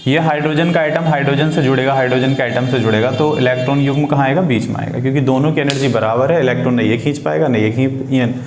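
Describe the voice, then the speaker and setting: Male chemistry teacher, medium pitch. Informal, repetitive, question-and-answer delivery in a reverberant room. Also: fast, few pauses, a little mellow. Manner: repeats key words and phrases, asks and answers own questions; mixes Hindi with English technical terms. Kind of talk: informal classroom-style lesson for students. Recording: roomy echo with faint background noise.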